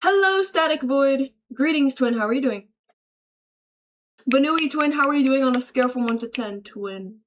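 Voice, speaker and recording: Female streamer, higher-pitched, informal and slangy, chummily thanking and answering her chat. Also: animated, moderate pace, a little hiss or hum. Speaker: livestreamer greeting chat. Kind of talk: casual livestream chat, reading and answering viewer messages aloud.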